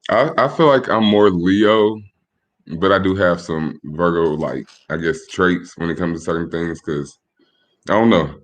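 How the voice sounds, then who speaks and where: A young man in his late twenties with a very deep, low voice answers questions in a relaxed, laid-back way. He pauses and restarts his sentences often. He grew up in Michigan. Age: 20s. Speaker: podcast guest. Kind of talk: casual interview chat.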